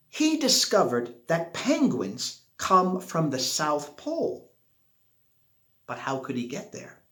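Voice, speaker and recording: A man reading a children's picture book aloud expressively, with dramatic pauses and drawn-out words. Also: fairly high pitch, a bit bright. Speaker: storybook reader. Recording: echoey room.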